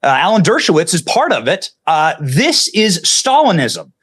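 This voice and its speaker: Man, high voice, animated swings in pitch, panel debater, combative, emphatic. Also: moderate pace, slightly rough. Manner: emphatic, combative, talks over interruptions. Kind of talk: heated televised panel debate.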